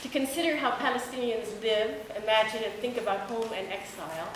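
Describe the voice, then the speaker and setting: A woman, a book editor, giving a measured, prepared literary introduction at a public event, with deliberate phrasing. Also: fairly high pitch. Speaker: book editor speaking at a launch. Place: echoey indoor room at a public event. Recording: echoey room recording with clear background noise.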